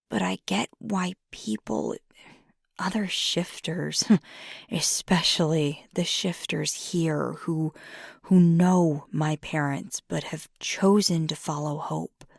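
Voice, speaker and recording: A woman playing a young, earnest werewolf girlfriend in an intimate ASMR roleplay, soft-spoken and hesitant, with a husky, breathy voice. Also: on the low side, no echo, studio quality, full character voice. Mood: earnest, resolved. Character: fiery young female werewolf girlfriend, earnest, ambitious, vulnerable.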